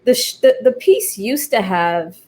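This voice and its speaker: A woman's voice: on the high side, big pitch swings, unhurried, darkish tone, slightly rough. A woman speaking reflectively and candidly as an interviewed playwright, expressively acting out remarks she quotes.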